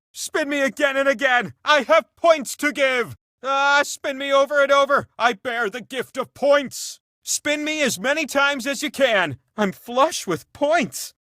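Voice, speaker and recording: A male actor voicing a theatrical, excitable talking prize wheel that hosts a video game, with gushing, dramatic delivery. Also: sits high, no echo, clean studio sound, heavily acted. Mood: giddy. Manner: gushing, theatrical, swings between thrilled and mock-dismayed. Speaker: game show host. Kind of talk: animated video game character voice lines.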